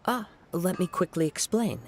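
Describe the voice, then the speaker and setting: An actor voicing a gentle, modest young wandering samurai in a video game, polite, calm and articulate. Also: male voice, high-pitched, moderate pace, slight background noise. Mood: calm, explanatory. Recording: game music behind voice.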